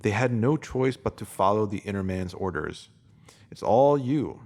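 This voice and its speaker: A man with a fairly low voice reads a written spiritual essay aloud. His delivery is calm and measured, steady and reflective from line to line. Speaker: reader. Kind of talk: scripted reading of a spiritual essay.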